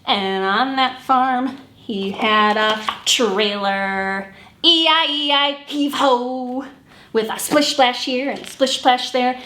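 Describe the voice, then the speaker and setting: A woman hosting a children's story time, reading and singing picture books in an animated way and acting out the sounds. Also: sits high, slow, echoey room, slight background noise. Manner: animated, acts out animal sounds and song refrains. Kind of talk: sung picture-book read-along for children.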